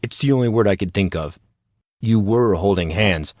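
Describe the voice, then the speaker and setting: A man's voice: fairly low pitch, normal speed, a little mellow, no room sound, studio quality, lightly acted. A man playing an earnest, indignant teenage boy in an audio drama who tries to sound dignified while interrogating. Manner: pompous, interrogating. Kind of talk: audio drama character dialogue.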